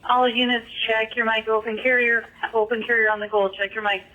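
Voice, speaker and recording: Woman dispatcher on police radio, kinda high forceful voice, lo-fi sound, firm and by the book. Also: little pitch movement, a little fast. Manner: firm, procedural, repeats instructions. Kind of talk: police radio broadcast to units. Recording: muffled police radio transmission.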